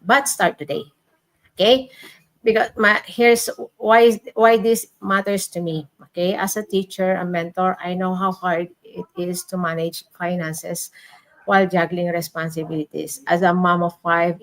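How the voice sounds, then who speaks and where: A woman's voice: fairly low pitch, rough recording. Woman giving earnest finance advice. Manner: repeats "okay?" to check in, restarts phrases. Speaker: mentor and teacher sharing finance tips. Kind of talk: online group talk.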